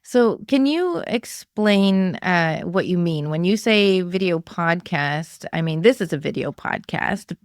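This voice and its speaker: A woman's voice: lowish voice, expressive, slow, few pauses, no room sound, studio quality. A woman hosting a podcast interview in a casual, conversational way, thinking aloud as she talks. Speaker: podcast host.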